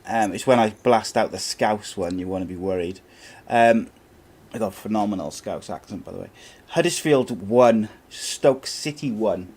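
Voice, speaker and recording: A man's voice: lowish voice, moderate pace, darkish tone, breathy edge. A man hosting a live football podcast, matey and casual, reading listener questions and calling his guest "mate". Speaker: podcast host.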